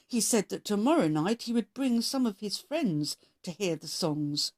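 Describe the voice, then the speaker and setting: A woman narrating a children's story as a read-aloud host, expressive and measured in her delivery. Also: lowish voice.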